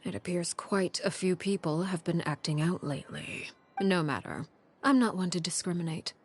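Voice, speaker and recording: An actress playing a cold, menacing female leader of a secretive house in a video game, speaking formally and with measured poise. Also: lowish voice, animated, slightly rough, soft background music. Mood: coolly menacing. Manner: measured, formal, veiled threats.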